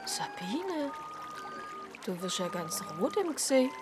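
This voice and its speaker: Swabian deaconess, music behind. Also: female voice, sits high, big pitch swings, slow pace, full character voice. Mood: helpful, curious. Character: curious, practical Swabian deaconess. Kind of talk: radio play acting.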